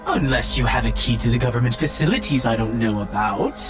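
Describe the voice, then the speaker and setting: A man's voice: mid-range voice, lively intonation, fairly quick, over loud music, close to an everyday voice. A man voicing a smug, know-it-all artificial intelligence from a sci-fi visual novel, teasing and cryptic. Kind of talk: visual novel character voice read by player.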